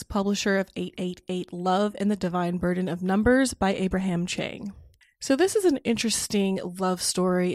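A woman's voice: medium pitch, dry recording. Woman reading a sponsor spot, dry sound. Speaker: podcast host reading a sponsor ad. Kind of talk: read ad.

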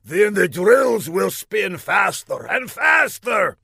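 Comic audiobook villain: gravelly, piercing, theatrical megalomaniac, gleeful and easily exasperated. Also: male voice, high-pitched, sing-song, slow pace, bright, forceful tone, no room sound, clean studio sound, pitched above the performer's own voice, heavily acted. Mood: menacing. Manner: theatrical, emphatic, exclaiming grandly.

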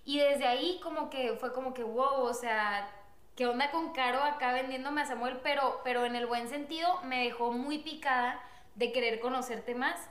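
A young woman telling a personal story in casual chat, animated and quick to laugh. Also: sits high, echoey room. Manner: animated, laughs often, acts out quotes. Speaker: guest telling their love story.

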